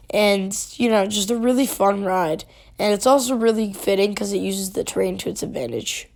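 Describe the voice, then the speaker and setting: A woman with a stuffy, congested voice talks through a casual voice-over at a middle pitch. She rambles loosely, restarting words and leaning on fillers, recorded close to the mic. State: congested from being sick. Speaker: theme park video creator. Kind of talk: casual ride review voice-over.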